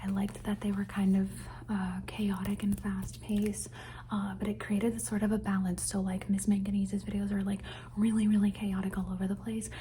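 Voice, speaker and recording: A young adult woman whispering an ASMR question-and-answer session into a phone, rambling and softly repeating words as triggers. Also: medium pitch, level tone, moderate pace, a little hiss or hum. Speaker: ASMR creator.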